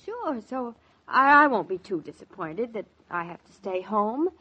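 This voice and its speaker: An actress playing a naive, earnest young newlywed wife with an American accent in a 1940s radio drama. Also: female voice, mid-range voice, sing-song, a little slow, sharp tone, husky, heavily acted. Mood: hurt, brave. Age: young adult. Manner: ingenuous, earnest, questioning. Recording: dull, lo-fi 1940s radio recording.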